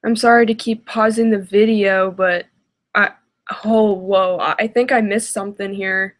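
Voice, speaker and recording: A woman's voice: medium pitch, fairly even intonation, a little slow. A woman giving casual reaction commentary in a hesitant, exasperated way. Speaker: reaction video host.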